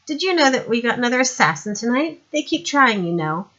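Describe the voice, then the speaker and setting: A woman's voice: a little high, big pitch swings, a little fast, pushed and bright, dull audio, pitched above the performer's own voice, full character voice. A woman voicing a paranoid, boastful and unpleasant male ruler in an audiobook story. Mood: suspicious, boastful.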